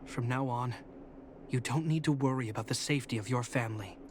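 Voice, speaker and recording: A man voicing an earnest young guard captain in a game, speaking in a steady, reassuring way. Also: mid-range voice, fairly quick, noisy background, lightly acted. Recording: game audio with music behind.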